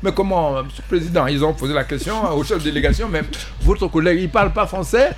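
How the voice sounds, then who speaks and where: A man from the Central African Republic, a party president speaking as a guest in a panel debate, halting, repeating words and pressing his points. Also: a little high, music in the background. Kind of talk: panel talk show debate.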